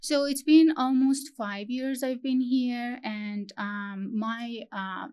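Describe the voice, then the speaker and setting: A young woman from Iran, talking as an interview guest, halting and searching for her words with many fillers. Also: on the high side, a little mellow, clear, resonant tone. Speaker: guest, PhD student. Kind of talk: interview conversation.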